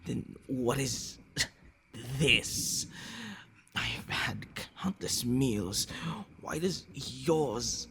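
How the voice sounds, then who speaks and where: A man playing a possessive, menacing vampire in an intimate ASMR roleplay, murmuring and whispering in a gravelly, commanding, condescending way. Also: very slow, fairly bright tone, a little hiss or hum, heavily acted. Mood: alarmed, demanding. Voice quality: gravelly, breathy low murmur dropping into whispers. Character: possessive, menacing male vampire toying with his captive.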